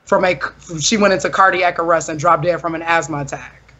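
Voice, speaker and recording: A young woman with a deep, loud voice, arguing firmly and defensively in a casual talk show. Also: fairly even intonation, a little fast, dull audio. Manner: firm, defensive, insistent. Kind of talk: heated casual argument.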